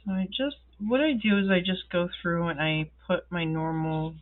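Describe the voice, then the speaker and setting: A woman who is ill and congested, chatting slowly while she fills in her planner at a desk, with many ums and pauses. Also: lowish voice, fairly even intonation, phone-quality sound. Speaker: planner vlogger. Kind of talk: casual talk while filling in a planner. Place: indoors at a wooden desk.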